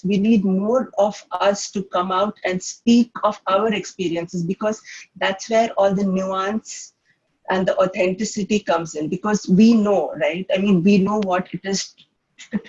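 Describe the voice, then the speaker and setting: An adult speaks with a stammer, stretching and repeating sounds between many fillers. The voice is breathy and husky at a mid pitch. It comes through a muffled, lo-fi online call line. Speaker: children's author and panelist. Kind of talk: panel discussion answer.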